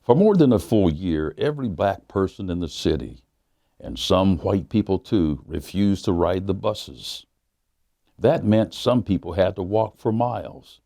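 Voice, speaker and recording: A man, a pastor, reading a children's book aloud in a measured storytelling manner that slows down on key lines. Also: low voice, warm tone, no echo, studio quality.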